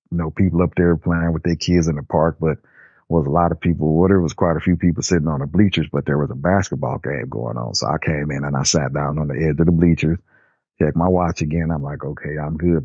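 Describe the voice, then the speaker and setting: An older man from Nebraska telling a personal story in a relaxed, rambling way with casual slang. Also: very deep voice, gravelly, husky, dry recording, dull audio. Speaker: storyteller guest. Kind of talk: personal storytelling, recounting a memory.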